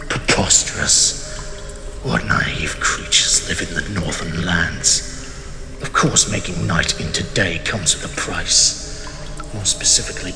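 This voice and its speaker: A man playing a proud, betrayed immortal mage king in a fantasy audio drama, with theatrical, measured, imperious speech and a menacing, bitter temper. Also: lowish voice, creaky, exaggerated voice. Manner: theatrical, measured, imperious, often dropping to a whisper. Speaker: voice actor. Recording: long cavernous reverb, music underneath.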